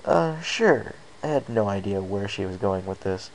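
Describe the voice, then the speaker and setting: A woman's voice: a bass voice, steady tone, a little fast, warm tone, slight background noise, low-quality recording. A woman reading first-person fan fiction aloud as an amateur audiobook narrator.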